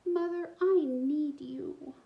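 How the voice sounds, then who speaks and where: A woman's voice: high-pitched, slow pace, creaky, muffled recording, pitched above the performer's own voice, heavily acted. A woman voicing a sweet, polite little girl from a storybook who exclaims and pleads over her beloved doll. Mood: distressed.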